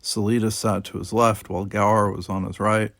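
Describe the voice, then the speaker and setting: A man's voice: fairly low pitch, level tone, brisk, fairly bright tone, dry recording. Man narrating a novel, very dry sound. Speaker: audiobook narrator.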